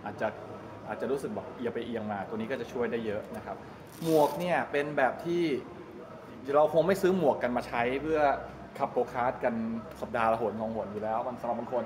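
A middle-aged man talks in a chatty, rambling, explanatory way, like a vlogger showing people around. His voice sits at a medium pitch. It is recorded at arm's length in a big echoey space with clear ambient noise. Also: expressive, fast talker. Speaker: vlogger giving a tour. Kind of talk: casual walk-through explanation to camera. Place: large open-sided go-kart pit hall. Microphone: 360 camera at arm's length. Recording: roomy echo, loud ambient noise.

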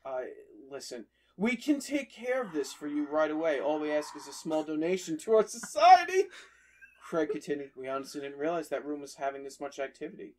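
A man with a slightly high voice reads a horror story aloud on a podcast. He keeps breaking off for joking asides to his friends. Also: sing-song, brisk, darkish tone. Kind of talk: casual story reading with banter.

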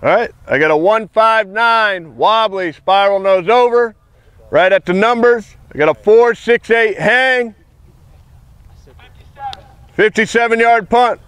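Male coach, outdoor field, high clean voice, clipped repetitive stat calls. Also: takes it slowly, pauses often, fairly bright tone, clear, resonant tone, dry recording. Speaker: kicking coach timing punts. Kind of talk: clipped play-by-play evaluation.

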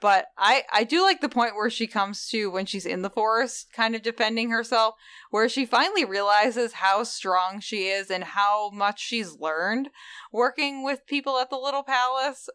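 A woman co-hosting a casual book-club podcast, chatty and quick to laugh. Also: fairly high pitch, fairly bright tone, smooth, no room sound, clean studio sound. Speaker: podcast co-host.

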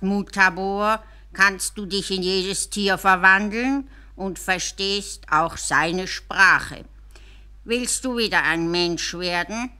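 A solemn old storybook scholar played by a woman with a Swiss German accent. He reads very slowly and weightily, stretching out each phrase. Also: mid-range voice, sharp tone, clear, resonant tone. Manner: slow, solemn, deliberate. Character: learned old male teacher reading a magic spell.

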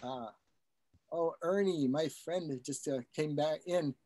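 A man's voice: a little high, measured pace, dark tone, no room sound. A man chatting casually and appreciatively after a poetry reading, with self-effacing, joking remarks. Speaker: poet who has just given a reading.

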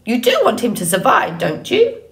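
A woman voicing an old female goose in an audiobook story, squawking, bossy and brisk in her manner. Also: fairly high pitch, wildly swooping pitch, a bit bright, exaggerated voice. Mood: brisk advice. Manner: indignant, bossy, matter-of-fact.

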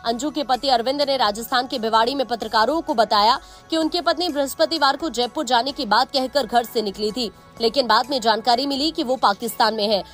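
High-pitched Indian woman reading news. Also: fairly bright tone. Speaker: news narrator. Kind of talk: scripted news narration. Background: background music.

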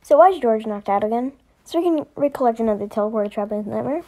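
A woman performing dialogue as an amateur voice-over for a homemade animated story. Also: fairly high pitch, quick pace, slight background noise.

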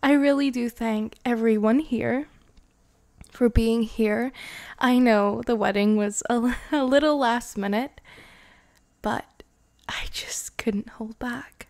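A woman voicing a devoted vampire girlfriend in an intimate ASMR roleplay, breathy and often slipping into a whisper. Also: fairly high pitch, steady tone, no room sound. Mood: tender, gracious. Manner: slow, intimate, hushed, sighing. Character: devoted, lovestruck vampire bride, tipsy and intimate.

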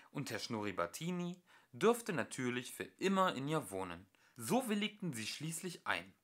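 A young man narrating a children's story as an amateur audiobook reader, with expressive delivery. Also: medium pitch. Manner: expressive storytelling with acted voices.

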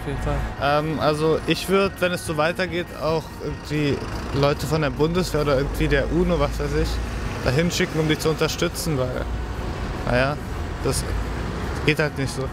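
A man's voice: a little high, fairly even intonation, normal speed, noisy background. A young man passer-by giving a casual opinion, searching for words, on a city street. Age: young adult. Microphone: handheld mic held by interviewer.

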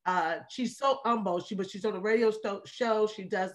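A woman in her thirties hosting a video call, talking in an excited, effusive way and laughing often. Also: medium pitch, normal speed. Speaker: host of a walking challenge celebration. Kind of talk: casual video call chat. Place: small room indoors. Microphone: video call webcam.

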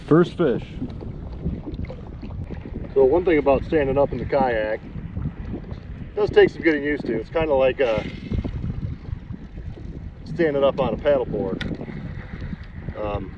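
A man's voice: mid-range voice, measured pace, long pauses, muffled recording, background noise. Easygoing man vlogging from a kayak. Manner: easygoing, lots of uh fillers, explains as he goes. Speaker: fishing kayak reviewer. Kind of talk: casual outdoor review vlog. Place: on a kayak out on open bay water.

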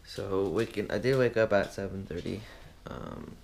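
A young man in his twenties talking casually to a handheld camera, relaxed and drowsy, trailing off with uhs. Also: low-pitched, normal speed, vocal fry, slight background noise. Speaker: vlogger. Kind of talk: casual vlog chat. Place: house room and car, indoors. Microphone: action camera held at arm's length.

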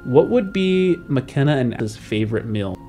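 A male podcast host with a mid-pitched voice chats casually about a game world. He thinks aloud in a hesitant way, with music playing behind him. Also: animated, normal speed, warm tone. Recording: background music under the voice.

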